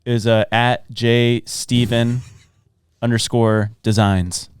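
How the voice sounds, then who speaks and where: Young male podcast co-host, clean smooth voice, close dry mic, playful and jokey. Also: medium pitch, level tone, slow pace, no room sound. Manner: jokey, breaks into goofy singing and accents. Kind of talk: casual comedic chat.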